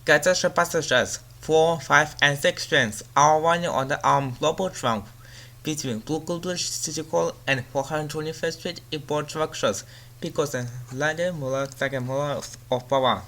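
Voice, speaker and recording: A man delivers a transit service announcement as a train announcer, reading out information and stops. His voice sits a little high and has a clearly bright, forward edge. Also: moderate pace. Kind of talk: subway service announcement, reading station lists.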